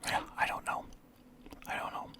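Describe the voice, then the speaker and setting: A young man from Canada whispering through a casual, rambling list of favorites as a vlogger. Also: moderate pace. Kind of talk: whispered ASMR list chat.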